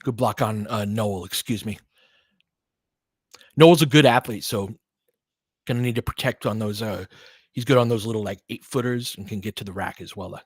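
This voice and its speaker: A man's voice: lowish voice, normal speed, pauses often, breathy edge, no room sound. A man doing casual live basketball play-by-play in clipped phrases, with conversational analytical asides. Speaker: play-by-play commentator and host.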